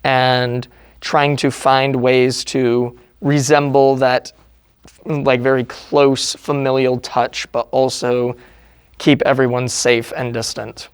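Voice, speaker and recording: Smooth, dry-sounding man in an interview. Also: medium pitch, little pitch movement, slow, dry recording. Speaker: theater production interviewee. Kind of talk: informal interview answers.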